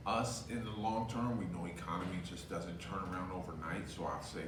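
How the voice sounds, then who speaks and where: A man from California, a podcast guest, talking politics in a measured, explanatory way. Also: deep voice, fairly even intonation. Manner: measured and explanatory, with occasional hearty laughter. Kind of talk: casual political chat. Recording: echoey room, mic far off, background noise.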